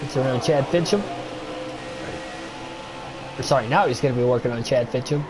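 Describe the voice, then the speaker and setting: A man's voice: mid-range voice, normal speed, over music. A young man with a stuffy nose, casually chatting with viewers and commenting on a racing game during a livestream, rambling with many fillers. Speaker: gaming livestreamer.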